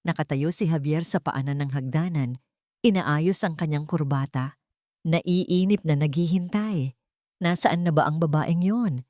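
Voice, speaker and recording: A woman's voice: low voice, unhurried, mellow, no echo, studio quality. A woman with a Filipino accent reading a scripted romantic story as narrator.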